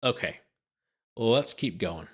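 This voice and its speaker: Male lawyer-host, medium pitch, document read-aloud with dry, incredulous running commentary. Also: animated, takes it slowly, breathy edge. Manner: incredulous, sarcastic asides.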